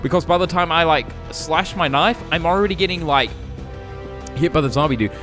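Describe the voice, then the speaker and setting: A man's voice: on the high side, fairly quick. A young man giving jokey, slangy, casual gameplay commentary as the host of a gaming video. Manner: jokey, slangy, calls viewers "guys" and "dude". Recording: music behind the voice. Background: music.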